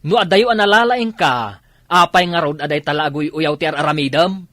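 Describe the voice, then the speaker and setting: A man's voice: a little high, moderate pace, fairly bright tone, no room sound, studio quality, close to an everyday voice. A man playing an exasperated Ilocano village husband, scolding and nagging with challenging questions in a comedy radio drama. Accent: Filipino, Ilocano.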